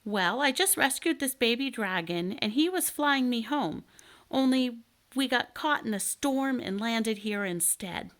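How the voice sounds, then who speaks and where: A woman's voice: fairly high pitch, expressive, unhurried, pitched above the performer's own voice. A woman reading a children's storybook, voicing an eager, kindhearted young boy who is earnest and curious. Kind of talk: children's storybook read aloud.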